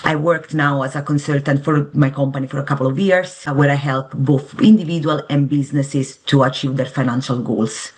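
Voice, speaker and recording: A woman's voice: very deep voice, fairly even intonation, brisk, few pauses, a little mellow. A woman from Italy with an Italian accent, a financial advisor explaining things earnestly and conversationally as an interview guest.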